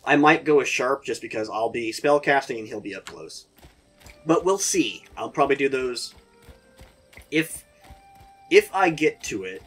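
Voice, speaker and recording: A man hosting a game playthrough, chatting casually and rambling, his voice hoarse from a sore throat. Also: mid-range voice, expressive, unhurried, long pauses, fairly bright tone, soft background music. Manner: rambling, chatty, laughs often. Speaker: gaming video host. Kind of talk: casual let's-play commentary.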